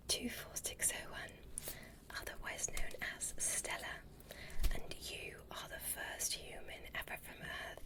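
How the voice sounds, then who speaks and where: Woman to play courteous alien space doctor for ASMR roleplay; hushed whisper, unhurried, soothing instructions. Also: lightly acted. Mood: welcoming, reassuring. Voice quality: soft breathy whisper. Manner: slow, soothing, gently instructing. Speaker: ASMR performer.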